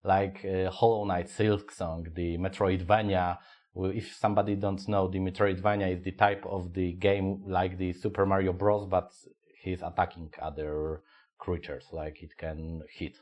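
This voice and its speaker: Low-voiced Polish man, halting English. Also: little pitch movement, a little mellow. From Poland. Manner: halting, searching for words, many fillers, swears freely. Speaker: podcast co-host. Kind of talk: casual podcast chat.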